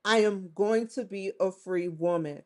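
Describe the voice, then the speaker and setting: A woman's voice: medium pitch, unhurried. A woman vlogger giving a defiant, confrontational monologue straight to the camera, swearing often. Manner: defiant, confrontational, with frequent profanity. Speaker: vlogger hosting her own show.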